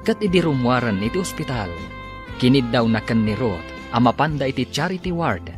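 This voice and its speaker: A man's voice: medium pitch, lively intonation, moderate pace. Dramatic male radio narrator over music.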